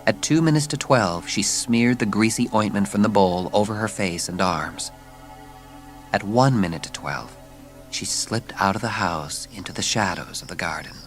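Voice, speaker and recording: A man narrates a dramatised children's audiobook in a fairly low voice. His storytelling is measured and dramatic. Gentle music sits behind the narration.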